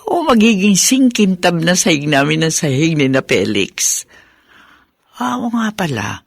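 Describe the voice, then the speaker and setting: A woman playing a doting, easily worried middle-aged mother and grandmother in a Filipino radio drama, with expressive, exclaiming delivery. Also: fairly low pitch, a little slow, vocal fry, breathy edge, no echo, clean studio sound, exaggerated voice. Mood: musing. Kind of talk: Filipino radio melodrama acting.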